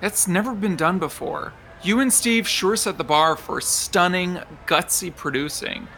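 An actor playing an effusive, arch theater gossip reporter in an audio drama, gushing like a red-carpet commentator. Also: male voice, sits high, a little slow, creaky, pushed and bright, exaggerated voice. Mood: fawning, arch. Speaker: entertainment reporter.

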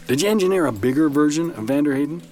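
A man's voice: a little high, expressive, fast, mellow, natural voice. An actor playing a defiant, alarmed male doctor in an audio drama, incredulous and sarcastic in his delivery. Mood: wary sarcasm.

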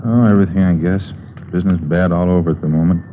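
A man's voice: low voice, steady tone, quick pace, phone-quality sound, close to an everyday voice. An American man playing a glum, beleaguered wrecking-yard owner in a 1950s radio drama, plain-spoken and resigned. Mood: resigned, complaining. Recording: old band-limited radio broadcast, faint noise.

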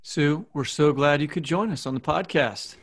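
A man co-hosting a podcast with gravelly, conversational commentary. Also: fairly high pitch, normal speed. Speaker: podcast co-host.

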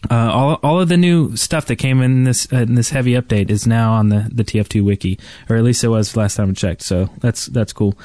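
A man's voice: medium pitch, brisk, hardly any pauses, mellow, no echo, clean studio sound. A man hosting a podcast, chatting casually. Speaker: podcast host.

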